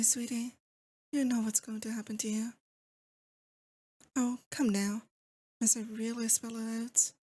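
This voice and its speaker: A woman's voice: fairly high pitch, mellow, breathy edge. A woman acting as a lovesick, possessive demon lord in a romantic ASMR roleplay, intimate and doting with a theatrical menace. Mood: teasing, smug.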